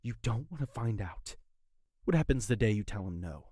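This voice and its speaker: A very low, husky young man's voice, acted by a woman. He is an earnest, wounded former lover in an audio drama, urgent and pleading. The delivery swings widely in pitch with intense feeling. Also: animated, moderate pace, warm tone, no room sound, clean studio sound, heavily acted. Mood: accusing, cold.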